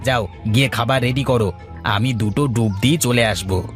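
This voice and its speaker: A man playing a chatty, good-natured village farmer in a cartoon, speaking in an expressive, colloquial, teasing way. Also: medium pitch, moderate pace, faint music behind, natural voice. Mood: teasing grumble. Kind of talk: cartoon voice acting in a village moral tale.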